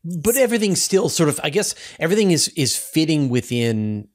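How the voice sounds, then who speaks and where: A man hosting a conversational science panel, feeling his way through questions in a halting manner. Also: fairly high pitch, very animated. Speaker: host and science communicator interviewing scientists.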